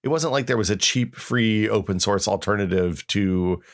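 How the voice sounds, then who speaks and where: Male, lowish voice, podcast host, casual conversational delivery, informal storytelling, wry. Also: normal speed. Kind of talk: casual chat with reminiscing and reading aloud.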